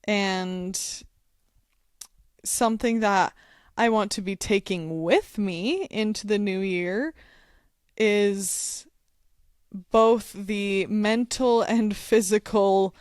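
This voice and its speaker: A woman from Wyoming co-hosting a podcast in casual chat, calm, reflective and encouraging. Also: medium pitch, drawn-out words, pauses often, clear, resonant tone, no room sound, studio quality. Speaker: podcast co-host.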